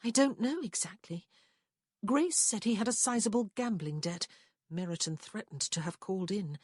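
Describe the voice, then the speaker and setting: A woman's voice: medium pitch, moderate pace, a little mellow, breathy edge, no room sound, studio quality, voice pushed up, lightly acted. A woman voicing an anxious young lady in love who speaks tenderly and breathily. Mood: confiding.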